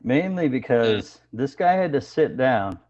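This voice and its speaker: A man doing a stand-up set of deadpan one-liners over a video call, with hesitant fillers between jokes. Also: mid-range voice, unhurried, lo-fi sound. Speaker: stand-up comedian.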